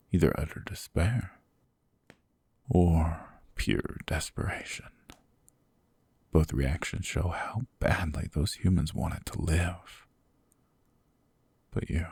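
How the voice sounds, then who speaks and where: A man voicing a cold, sadistic, possessive demon king in an ASMR roleplay, talking slowly and mockingly in gravelly whispers close to the mic. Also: rumbling low, a little mellow, no room sound, full character voice. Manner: slow, mocking, condescending. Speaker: voice actor.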